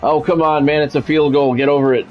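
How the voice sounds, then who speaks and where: A man's voice: on the high side, steady tone, fast. Male American sports commentator, clipped play-by-play with offhand asides, lo-fi audio over music. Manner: clipped announcer phrasing with casual asides. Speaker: play-by-play streamer. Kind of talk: live sports play-by-play commentary of a football video game.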